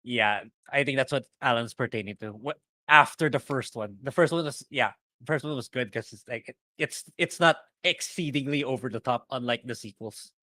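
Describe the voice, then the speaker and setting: A man's voice: mid-range voice, normal speed, pushed and bright, dry recording. A young man co-hosting a podcast, laid-back and chatty, often chiming in with brief agreement. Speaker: podcast co-host. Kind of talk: casual chat.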